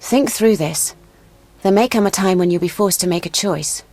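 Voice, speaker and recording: An actress playing a proud, serious elven huntress in a video game, speaking formally and gravely. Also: female voice, lowish voice, normal speed, darkish tone, no echo. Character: proud, serious elven woman, a wounded monster hunter.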